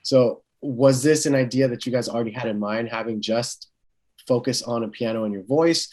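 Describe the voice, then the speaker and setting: A man's voice: medium pitch, moderate pace, darkish tone. A man working as an interviewer, asking long, casual questions in a tentative way and rephrasing them as he goes.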